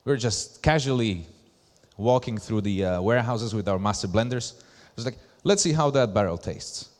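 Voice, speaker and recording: Low-voiced Slovak-accented man in echoey hall. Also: animated, moderate pace, darkish tone. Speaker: presenter, brand ambassador. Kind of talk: conference presentation. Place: large hall at a live event. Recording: echoey hall with long reverb.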